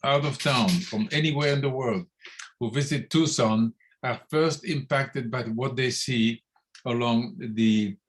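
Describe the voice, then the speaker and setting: A man from Belgium, calling in to give public comment at a city meeting. He searches for words with frequent pauses and restarts, in a middle-pitched voice. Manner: hesitant, many fillers and restarts. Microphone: phone call into online meeting.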